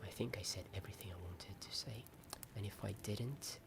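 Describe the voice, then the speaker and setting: A man whispering a hesitant, rambling ASMR chat in a soft, often breath-only voice. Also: on the low side, fairly quick, gravelly. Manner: hesitant, rambling, self-deprecating. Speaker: ASMR video host. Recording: strong ambient noise behind whisper. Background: added ambience sound.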